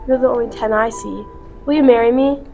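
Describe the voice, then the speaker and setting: Very high, sassy young heroine played by a woman. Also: steady tone, a little fast, mellow, faint music behind, close to an everyday voice. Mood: earnest. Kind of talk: student radio play acting.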